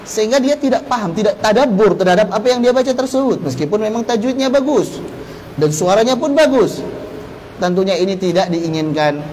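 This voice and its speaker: High-voiced Indonesian man teaching. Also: animated, brisk, darkish tone, slight background noise, poor audio. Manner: explanatory, poses rhetorical questions and answers them. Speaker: Islamic teacher giving a Quran recitation course. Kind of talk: instructional religious lecture with Arabic terms and quotations.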